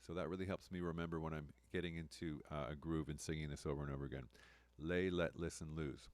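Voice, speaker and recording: A man with a low, smooth voice casually guiding a group singing session in an easygoing, encouraging way. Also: steady tone, quick pace, no echo. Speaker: singing circle leader.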